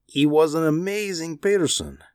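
A man's voice: a little high, measured pace, darkish tone, no room sound, clean studio sound, voice pushed up, close to an everyday voice. A man voicing a grim, weary official in an audiobook story. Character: grim, weary male official with intense temper. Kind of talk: audiobook character dialogue.

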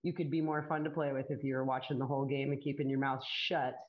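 A woman's voice: a bass voice, little pitch movement, quick pace. A young woman talking casually as an interview guest, chatty and bubbly, laughing often. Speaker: guest, disc golf player and commentator. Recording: echoey, dull lo-fi call audio.

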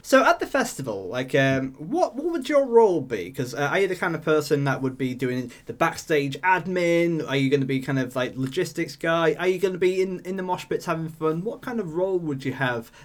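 A man's voice: fairly high pitch, expressive, fairly quick, hardly any pauses. A man hosting a podcast in casual chat, self-effacing and often stumbling as he starts a sentence. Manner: hesitant, self-effacing, stammering over starts. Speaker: podcast host.

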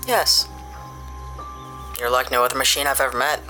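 Lady doing a snarky low-voiced space captain, blunt and snippy. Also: steady tone, moderate pace, sharp tone, soft background music. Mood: defensive. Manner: curt and sarcastic, snaps insults. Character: blunt sarcastic woman space adventurer. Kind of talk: audio drama acting.

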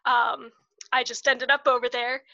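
Bubbly high-voiced woman host, muffled audio. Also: level tone, measured pace, sharp tone. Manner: chatty, laughs often, many fillers. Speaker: podcast host. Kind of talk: casual interview chat.

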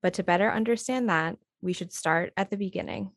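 A woman's voice: medium pitch, fairly quick, no echo, studio quality. A woman co-hosting a podcast, reading scripted narration in a composed, articulate way. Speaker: podcast co-host.

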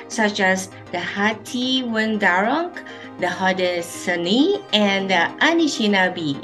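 Podcast guest: woman from the Philippines, measured and formal, mid pitch, faint music. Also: fairly bright tone. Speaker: guest, fashion designer. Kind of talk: scripted introduction.

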